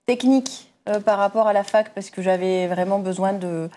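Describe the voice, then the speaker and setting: A woman's voice: mid-range voice, normal speed, dark tone. A woman from southwest France, a guest in an interview, answering in an easygoing, hesitant way with frequent fillers. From southwest France, near Bordeaux. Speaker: guest, company security manager.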